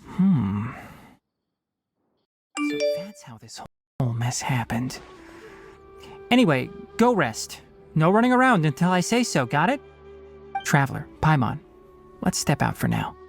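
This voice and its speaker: An actor playing a young forest ranger in a game, blunt and lecturing, no-nonsense. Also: male voice, on the high side, sing-song, a little slow, vocal fry, long pauses, soft background music, full character voice. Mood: stern. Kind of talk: video game voice acting.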